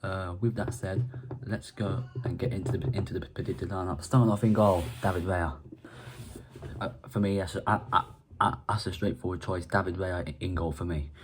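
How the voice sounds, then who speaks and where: Young irish-born guy doing a footy preview, real low voice, keeps tripping over his words, faint clicks behind. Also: fairly even intonation, normal speed, background noise. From Ireland. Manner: rambling, repeats and restarts words. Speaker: football fan channel host. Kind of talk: casual match preview monologue.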